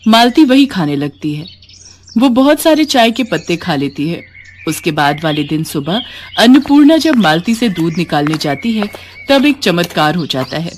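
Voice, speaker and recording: Expressive woman storyteller, lowish voice. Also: a little hiss or hum. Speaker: story narrator. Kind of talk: scripted narration of a moral story.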